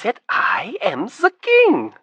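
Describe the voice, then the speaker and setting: A reader voicing an excitable, vain young prince who stammers when nervous and gets shrill and loud when pleased. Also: unhurried, husky, no room sound, full character voice. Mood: gleeful.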